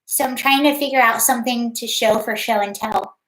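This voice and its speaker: High-pitched chatty woman livestreaming. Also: fairly even intonation, brisk, fairly bright tone, echoey room, poor audio. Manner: chatty, rambling, greets viewers by name. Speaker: livestream host. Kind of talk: casual livestream chat.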